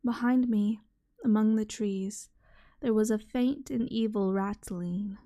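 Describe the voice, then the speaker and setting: A soft-spoken woman reading a horror short story in a measured ASMR style. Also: mid-range voice. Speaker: reader, channel host.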